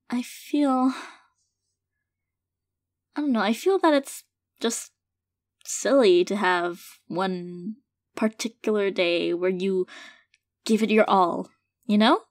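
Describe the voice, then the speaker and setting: A woman's voice: medium pitch, no room sound, close to an everyday voice. A woman playing an affectionate, hesitant girlfriend in an intimate audio roleplay, speaking sincerely and tenderly to her partner. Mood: loving, sincere. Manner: soft-hearted, hesitant, halting, gently sincere. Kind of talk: intimate audio roleplay, casual monologue to listener.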